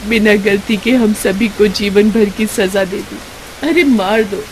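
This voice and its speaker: Woman voicing a plaintive young orphan sister, pitched high. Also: fairly even intonation, darkish tone, a little hiss or hum, natural voice, rough recording. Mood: anguished, defiant. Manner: plaintive, emotional, drawn-out lament.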